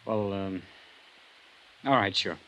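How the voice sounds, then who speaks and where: A man's voice: lowish voice, slow pace, a bit bright, a little hiss or hum, low-quality recording. An actor playing an uneasy, defensive young man in an old-time radio drama. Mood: uneasy, hesitant. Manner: hesitant, defensive.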